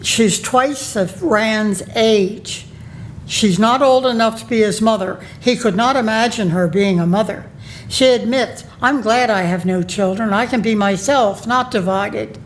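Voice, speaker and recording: Slow, measured woman presenting a paper. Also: a little high, slight background noise, poor audio. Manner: measured, with pauses and fillers. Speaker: conference presenter. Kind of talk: academic talk reading from a paper. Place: conference room.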